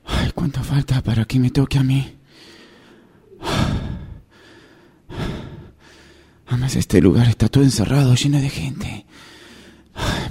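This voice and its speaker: A man's voice: mid-range voice, dark tone, slightly rough. A man with an Argentine accent playing an anxious, overwhelmed parent, fretting repetitively in an improvised radio theatre monologue. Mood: faint, pleading. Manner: fretful, sighing, repetitive. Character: anxious, breathless, overwhelmed parent stuck waiting in line.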